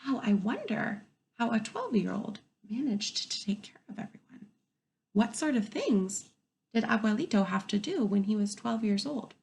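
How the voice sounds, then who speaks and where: A woman reading a picture book aloud to young children like a teacher, expressive and pausing to ask wondering questions. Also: medium pitch, gravelly, slight background noise. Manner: expressive, pauses to pose questions to young listeners.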